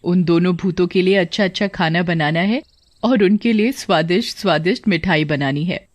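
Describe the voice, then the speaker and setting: A woman's voice: fairly low pitch, normal speed, no room sound, close to an everyday voice. A woman voicing a tailor's kind, grateful wife in a cartoon story, with an earnest manner. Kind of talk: Hindi cartoon story dialogue.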